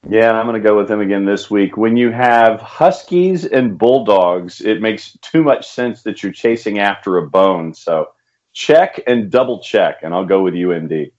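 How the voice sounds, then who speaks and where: A man's voice: lowish voice, animated, moderate pace, darkish tone. An American man co-hosting a sports show, giving wordy, conversational commentary. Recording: dull lo-fi remote audio.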